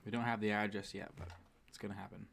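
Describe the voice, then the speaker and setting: A man's voice: fairly low pitch, flat delivery, fast, vocal fry, a bit bright, slight background noise. A man hosting a casual livestream, joking and talking to his viewers in a laid-back way. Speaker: livestream host.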